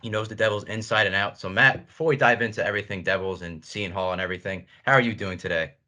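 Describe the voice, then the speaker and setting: A man's voice: fairly low pitch, fast talker, sharp tone. A man hosting a sports show, asking questions in a conversational interview style.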